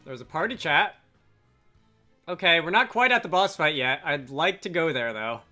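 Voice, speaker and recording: A man's voice: fairly high pitch, animated, moderate pace, sharp tone. A man doing casual let's play commentary with wry, rambling asides. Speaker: video game let's player. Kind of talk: casual gameplay commentary mixed with reading dialogue aloud. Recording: game music behind. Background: video game music.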